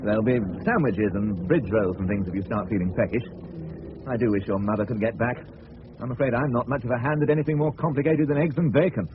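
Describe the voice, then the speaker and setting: A man's voice: medium pitch, quick pace, natural voice. An actor as a chatty English father, hissy radio. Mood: genial, chatty. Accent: southern English. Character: chatty, fussy middle-class English father, grumbling snob. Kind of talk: 1970s radio drama acting. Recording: narrow-band old radio recording, clear hiss.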